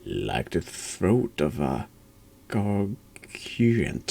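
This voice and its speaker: A man congested with a cold, hosting a horror game playthrough in a hushed ASMR style, halting and sometimes dropping into whispers. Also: sits low, wildly swooping pitch, gravelly, breathy edge. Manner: slow, halting, drops into whispers. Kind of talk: hushed ASMR-style game commentary and read-aloud narration.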